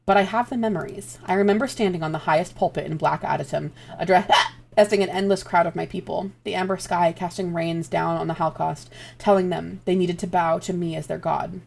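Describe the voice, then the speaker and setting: A woman's voice: lowish voice, fairly even intonation, fairly quick, creaky, a little mellow. A woman voicing a stern, ancient female cult leader who speaks tersely and formally. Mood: grave.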